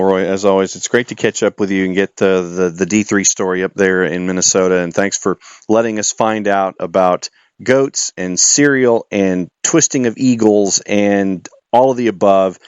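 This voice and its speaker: A male sports radio host with a lowish, smooth, resonant voice talks in chummy, polished patter. The sound is dry and slightly dull. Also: moderate pace, no room sound. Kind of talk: conversational radio interview hosting.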